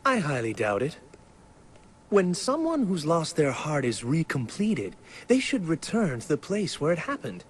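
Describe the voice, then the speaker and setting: Scholarly, formal character, highish voice. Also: male voice, wildly swooping pitch, a little slow, slight background noise. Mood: measured. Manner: formal, explanatory. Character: learned, composed, precise explainer.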